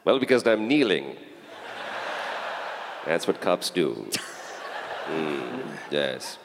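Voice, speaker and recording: A man performing live improv comedy in a mock-serious, deliberate manner. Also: very deep voice, sing-song, creaky. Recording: noisy live stage recording.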